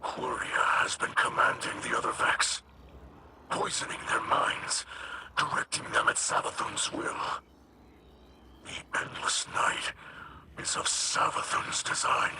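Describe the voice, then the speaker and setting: A man playing a grave, wary alien captain and spiritual leader in a video game, with a breathy rasp and solemn, formal phrasing. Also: takes it slowly, heavy background noise, lightly acted. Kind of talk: video game character dialogue.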